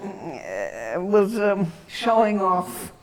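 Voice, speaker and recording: Very slow-talking elderly woman, halting. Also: medium pitch, big pitch swings, a little mellow. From Antwerp, Belgium. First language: German. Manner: halting, searching for words with long pauses. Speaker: interviewee. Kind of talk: reminiscing in a personal interview.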